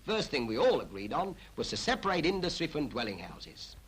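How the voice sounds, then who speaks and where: An actor playing a cheerful, chatty everyman narrating a 1940s animated public information film in a folksy, colloquial way. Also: a little high, fairly quick, slightly rough, exaggerated voice. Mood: chatty, proud. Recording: old 1940s film soundtrack, music behind.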